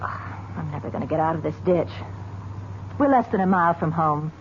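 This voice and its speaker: An actress playing a wealthy, doting but bossy society fiancée in an old-time radio mystery, coaxing and self-assured. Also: female voice, low-pitched, brisk, lightly acted. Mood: exasperated. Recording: muffled, noisy vintage radio recording.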